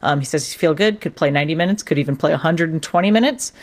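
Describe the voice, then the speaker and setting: Female radio sports reporter with a slightly low voice, explaining events conversationally. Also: fast.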